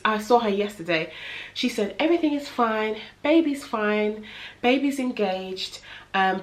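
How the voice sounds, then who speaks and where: A young woman, blocked up with a cold, chatting through a casual home vlog update. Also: mid-range voice. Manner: chatty, rambling, many fillers. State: congested with a head cold. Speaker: vlogger. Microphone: camera in front of her.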